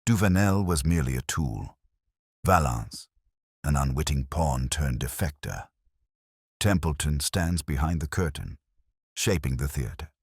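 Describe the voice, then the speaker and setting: A man voicing a brooding, analytical gentleman detective in deliberate, declamatory speech for an audiobook. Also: extremely low pitch, animated, husky, dry recording, studio quality, heavily acted. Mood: grave, certain.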